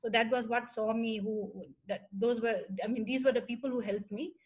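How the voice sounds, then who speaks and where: Middle-aged woman answering on a video call, slightly high voice, calm and plain with some uhs, laptop mic. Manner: measured, plain, uses fillers. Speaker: panelist, professor. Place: room indoors. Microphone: laptop webcam on video call.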